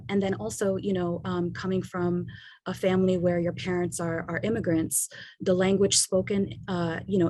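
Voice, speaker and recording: A woman's voice: on the low side, breathy edge, no echo, low-quality recording. A woman lawyer from the United States, talking casually as an interview guest, thoughtful, with many fillers.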